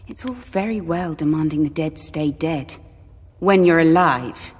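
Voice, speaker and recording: A woman playing a resolute, weary soldier in an audio drama, with a measured, guarded manner. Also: sits low, darkish tone, breathy edge, long reverb tail.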